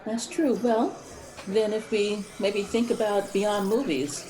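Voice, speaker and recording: A woman's voice: mid-range voice, steady tone, slow pace, dark tone, some chatter behind, poor audio. A woman moderating a casual group discussion.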